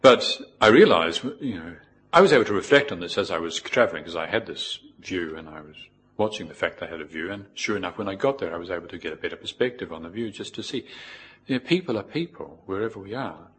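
A middle-aged man with a New Zealand accent giving an informal, reflective Buddhist talk in a measured way, with pauses. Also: low-pitched, lively intonation, fairly bright tone. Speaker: Buddhist monk, teacher. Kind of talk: informal spoken dharma talk with personal anecdotes.

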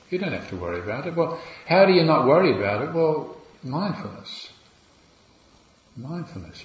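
A Buddhist monk with a New Zealand accent gives a dharma talk in a gentle, reflective way, with wry asides. His voice sits on the low side for a man. The recording is roomy and a little dull, with faint ambience behind him. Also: a little hiss or hum.

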